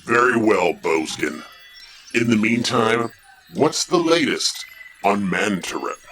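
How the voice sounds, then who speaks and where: A man playing a menacing alien warlord who gives slow, contemptuous commands in an audio drama. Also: lowish voice, expressive, a bit bright, faint music behind. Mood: commanding. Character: menacing evil male alien warlord ruler.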